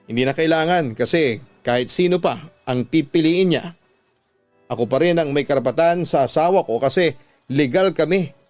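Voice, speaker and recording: Betrayed wife for radio drama, played by a man: anguished, defiant, emphatic accusations, higher-pitched, over a music bed. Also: normal speed, no echo, lightly acted. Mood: defiant, scornful.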